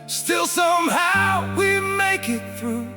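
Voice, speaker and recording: A woman singing a sentimental ballad, drawn out and gentle in style. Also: very high voice, lively intonation, takes it slowly, over loud music. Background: musical accompaniment.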